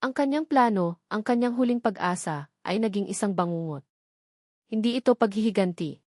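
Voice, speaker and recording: A woman's voice: mid-range voice, normal speed, a little mellow, no room sound, studio quality. A woman with a Filipino accent reading a scripted love story as a dramatic audiobook narrator.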